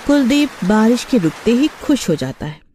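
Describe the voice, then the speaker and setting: A woman's voice: a little high, sing-song, moderate pace, dark tone, a little hiss or hum. A woman narrating a scripted horror story for children.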